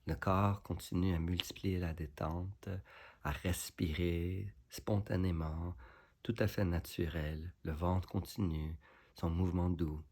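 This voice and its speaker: A man leading a guided relaxation meditation as a yoga nidra instructor, giving soothing, unhurried instructions with pauses. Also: sits low, mellow, breathy edge.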